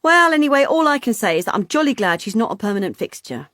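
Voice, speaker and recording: A woman reader voicing a hearty, loud middle-aged woman, talking high and breezily in an audiobook. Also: big pitch swings, fast talker, no echo, clean studio sound. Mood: booming, jolly.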